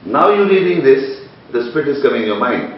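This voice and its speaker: A man's voice: medium pitch, big pitch swings, brisk. A man explaining scripture to a questioner in an earnest, insistent way, repeating his points. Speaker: Islamic teacher. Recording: echoey, distant, dull recording.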